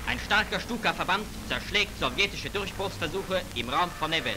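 A man's voice: high-pitched, lively intonation, moderate pace, background noise. A man narrating a wartime propaganda newsreel in a formal, declamatory manner, on an old 1940s film soundtrack. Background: loud music, war sound effects.

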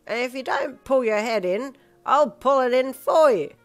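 A woman's voice: mid-range voice, lively intonation, a little slow, fairly bright tone, soft background music, pitched above the performer's own voice. A woman doing a slurring, drunk old dad. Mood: blunt drunk. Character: drunk, blunt, cheerful old father.

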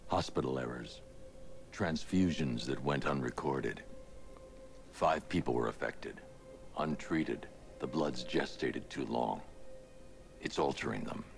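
Very deep gravelly grim vigilante. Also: male voice, takes it slowly, pauses often, over music, heavily acted. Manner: terse, grave, controlled. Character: grim stoic masked male vigilante detective. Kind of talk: video game cutscene acting.

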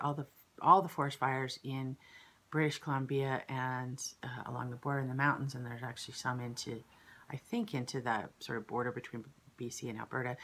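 A woman in her fifties with a very low, croaky voice hosts her knitting podcast from her kitchen. She rambles in a chatty way, full of fillers and restarts, talking straight to a camera in front of her. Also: a bit bright. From Canada. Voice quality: slightly croaky. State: croaky throat from smoke. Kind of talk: casual chat to camera. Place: kitchen at home, indoors.

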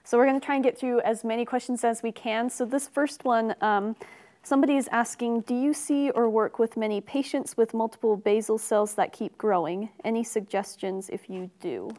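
Upbeat young woman hosting, higher voice. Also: steady tone, moderate pace, darkish tone. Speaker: webinar host. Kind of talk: hosting a webinar. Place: studio set.

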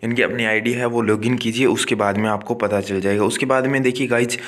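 A male tutor records a walkthrough of his phone screen. He speaks in a fairly flat, even voice at a middling pitch. He calls his viewers "guys" and keeps restating each figure with "that is to say.". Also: quick pace. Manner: addresses viewers as guys, repeats and restates numbers with frequent "yaani ki". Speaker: online tutor for agriculture exams.

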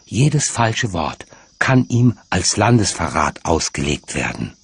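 A man narrating a documentary from a script in a measured, articulate way. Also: sits low, big pitch swings, vocal fry, slightly rough, no echo.

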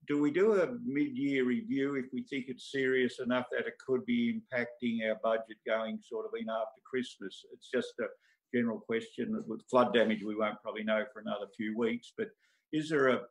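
Male local councillor with an Australian accent, mid-pitched, putting straightforward questions to a meeting. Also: low-quality recording. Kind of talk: plain-spoken questioning in formal meeting.

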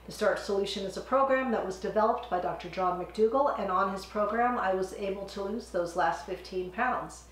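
A middle-aged woman hosting a home cooking channel, a bit hoarse from a cold, explaining a recipe step by step in a friendly, chatty way. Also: mid-range voice, moderate pace, hardly any pauses, echoey room, rough recording. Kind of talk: casual cooking demonstration. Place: home kitchen, indoors.

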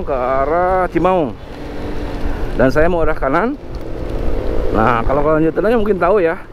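A man's voice: on the high side, lo-fi sound. A man hosting a motovlog, casually narrating the streets while riding a scooter, thinking aloud with fillers. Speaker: motovlog host. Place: outdoors on a motor scooter in town traffic. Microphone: helmet or body camera mic. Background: faint traffic and engine noise.

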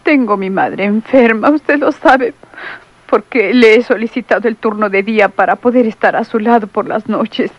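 A chatty young nurse. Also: female voice, a little high, moderate pace, no echo, lo-fi sound. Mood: pleading. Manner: pleading, then gossipy and playful. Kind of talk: vintage radio drama acting. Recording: old radio recording.

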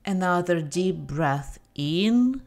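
A woman narrating a guided meditation in a calm, gentle and deliberate manner, giving soothing scripted instructions with pauses. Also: lowish voice. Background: soft meditation music.

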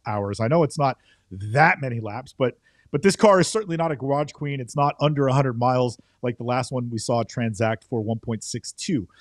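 Male podcast co-host, middle-aged, fairly high-pitched, dry close-mic sound, conversational recap delivery. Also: no room sound. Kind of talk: conversational car auction recap. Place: indoor home studio. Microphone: close boom studio microphone.

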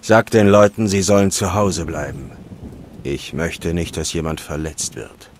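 An actor playing a cool, gruff witcher in a dubbed video game. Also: low voice, a little slow, background noise. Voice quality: flat, cool. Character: gruff grown male monster-hunting witcher.

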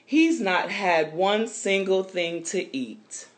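A man reading a storybook aloud, voicing a busy, warm market mother who exclaims loudly and then dotes fondly. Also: medium pitch, animated, takes it slowly, pitched above the performer's own voice.

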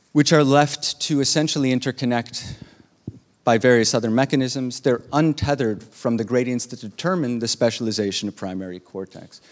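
A man's voice: medium pitch, darkish tone. Man lecturing in a reverberant hall. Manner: measured, with frequent "uh" pauses while explaining slides. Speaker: keynote speaker. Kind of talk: academic conference talk.

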